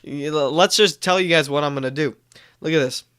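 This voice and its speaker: A young man, a little congested from a cold, talks to viewers on a live stream. His voice is bright and smooth and sits a bit high, and he rambles, stopping often to correct himself. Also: moderate pace. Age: young adult. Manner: rambling, self-correcting, upbeat. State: stuffy from a cold, coughing. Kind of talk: casual live-stream chat.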